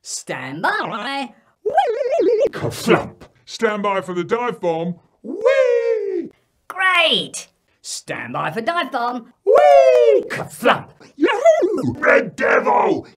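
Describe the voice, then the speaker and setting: A man's voice: very high voice, huge pitch swings, drawn-out words, a bit bright, husky, pitched above the performer's own voice, full character voice. A man voicing rowdy, overexcited boys at play in a story, whooping and barking out exclamations. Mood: wild play. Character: rowdy, overexcited boys playing dive-bombers indoors.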